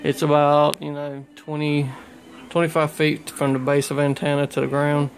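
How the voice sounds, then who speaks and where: A man's voice: fairly high pitch, completely flat delivery, moderate pace, a little hiss or hum. A man casually showing off his own radio gear indoors in a folksy, colloquial way. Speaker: hobbyist CB radio operator. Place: small room indoors. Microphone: handheld phone camera behind the lens.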